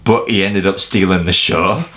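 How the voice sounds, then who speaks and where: A man's voice: low-pitched, steady tone, normal speed, a crowd in the background. A man presenting an opinionated scripted video review in a blunt, wry, sweary way. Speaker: video reviewer presenting a top ten list.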